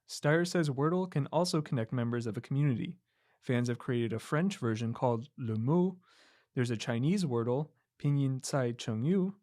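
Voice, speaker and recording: A man reads a scripted radio news story in a measured, clear voice. The recording is dry and close, with no room sound. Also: medium pitch, a little mellow, no echo. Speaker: reporter.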